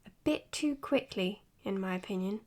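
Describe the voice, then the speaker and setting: A woman's voice: medium pitch, expressive, normal speed, a little mellow, lightly acted. A woman acting in an audio drama as an earnest, matter-of-fact psychologist giving her case notes. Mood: tired, matter-of-fact. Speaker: doctor. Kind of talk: audio drama, recorded clinical log.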